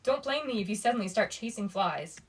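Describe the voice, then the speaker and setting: A woman's voice: mid-range voice, expressive, a little fast, slightly rough. A woman voicing a weary, guarded teenage boy in a storybook, wry and resigned.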